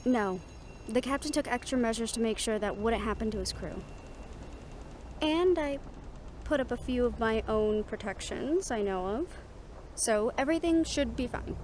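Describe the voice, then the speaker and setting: A woman playing a supportive, excitable young woman in a fantasy audio roleplay, with an expressive, conversational delivery. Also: fairly high pitch, normal speed, lightly acted. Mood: uneasy. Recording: faint ambient vehicle noise behind.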